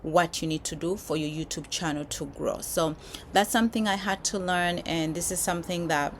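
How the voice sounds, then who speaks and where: Woman creator, low voice, rambling casual vlog delivery, faint noise behind. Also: normal speed. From Uganda. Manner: rambling, many restarts and fillers. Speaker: YouTube creator. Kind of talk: casual talk to camera. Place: filming while traveling abroad.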